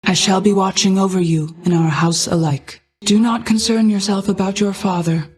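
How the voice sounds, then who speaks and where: A woman voicing a regal, ageless, motherly goddess of night in a video game, speaking in formal, measured, archaic phrasing. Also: medium pitch, steady tone, darkish tone, breathy edge, faint music behind, natural voice. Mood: gentle, solemn. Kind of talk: scripted video game voice acting.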